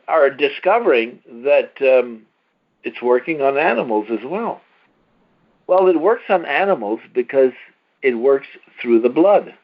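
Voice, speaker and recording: A man hosting a conference call, giving an informal, explanatory and persuasive sales talk to his listeners. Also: mid-range voice. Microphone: conference call line. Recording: dull, lo-fi conference call audio.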